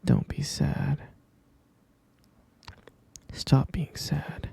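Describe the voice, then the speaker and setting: A dark, whispered male voice, a man playing an obsessive yandere lover who holds his beloved captive. He coaxes slowly and insistently, tender and possessive, with a heavy gravelly creak. It is an intimate, close-up ASMR roleplay. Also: a little high, huge pitch swings, full character voice. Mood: soothing, possessive. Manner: coaxing, slow, cooing, insistent. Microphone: close-up microphone.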